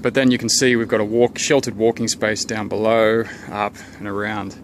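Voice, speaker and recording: A man's voice: lowish voice, flat delivery, a little hiss or hum. Man musing through a home renovation tour. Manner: unhurried, pausing often with ums and restarts. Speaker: homeowner giving a renovation tour. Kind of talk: casual thinking-aloud walkthrough. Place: outdoors around a house, under a deck and in a garden. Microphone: handheld camera held by the speaker.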